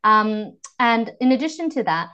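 A woman's voice: a little high, expressive, normal speed, bright, forceful tone, clean tone, dull audio. A woman in her thirties from Canada with a Canadian accent, a voice and communications coach speaking in a podcast interview, articulate and reflective. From Vancouver, Canada.

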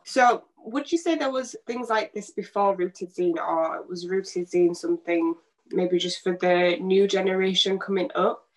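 A woman's voice: fairly low pitch, a little slow, rough recording. A woman hosting a casual podcast, asking long, searching, rambling questions. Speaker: podcast host.

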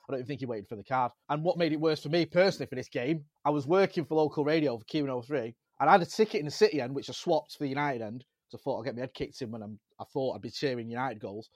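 A man with a Manchester accent chatting on a football podcast and telling lively stories about his days as a local radio reporter. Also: mid-range voice, very fast, few pauses, no echo. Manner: animated storyteller, jumps into mimicry of fans. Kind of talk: casual football podcast chat.

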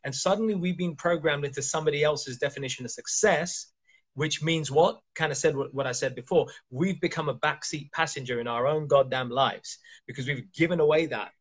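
Husky man asking emphatic rhetorical questions. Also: on the high side, brisk. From Kenya. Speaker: coach and podcast host. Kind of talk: casual interview chat.

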